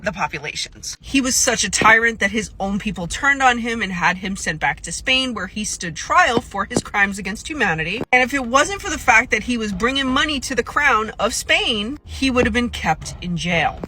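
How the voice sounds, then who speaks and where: A woman's voice: fairly high pitch, animated, moderate pace, hardly any pauses, pushed and bright, poor audio. A woman from the United States giving an emphatic, explanatory opinion commentary straight to her viewers. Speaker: vlogger.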